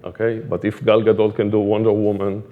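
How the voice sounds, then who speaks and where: A man's voice: fairly low pitch, completely flat delivery, narrow, tinny audio. A middle-aged Israeli man with an Israeli accent giving an informal conference talk, feeling his way through phrases with repeats and fillers. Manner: halting, repetitive phrasing with frequent fillers. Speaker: conference speaker, product management director.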